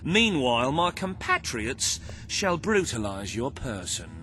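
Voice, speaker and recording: Pompous, theatrical, wordy crime boss. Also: male voice, medium pitch, wildly swooping pitch, takes it slowly, bright, forceful tone, a little hiss or hum, full character voice. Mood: smug, officious. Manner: florid, over-enunciated, long-winded formal diction. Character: pompous, verbose male crime boss, scheming and indignant. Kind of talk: theatrical video game voice acting.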